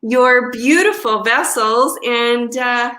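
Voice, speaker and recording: A woman's voice: on the high side, slow, pushed and bright, echoey room. Upbeat woman hosting a live chat. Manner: upbeat, enthusiastic, hesitant with fillers. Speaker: travel advisor and live-chat host. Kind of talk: casual live-stream chat.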